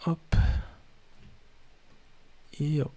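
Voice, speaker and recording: A man streaming live in French, which is not his first language, chatting loosely and haltingly while he sets up. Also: dark tone, faint music behind. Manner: halting, loose, talks to himself while setting up. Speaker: streamer reacting to videos. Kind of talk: casual live-stream chat.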